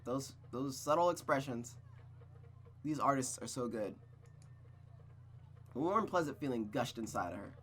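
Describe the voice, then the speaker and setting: A host reading a visual novel aloud, then breaking off into blunt, excited asides. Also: mid-range voice, measured pace, long pauses, slight background noise. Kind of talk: narration with casual reactions.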